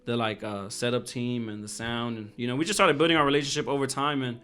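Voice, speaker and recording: A man's voice: medium pitch, steady tone, quick pace, bright, forceful tone, clean tone. A man in his twenties co-hosting a podcast, talking casually and earnestly and often repeating his phrases. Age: 20s. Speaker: podcast co-host. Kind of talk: casual personal chat.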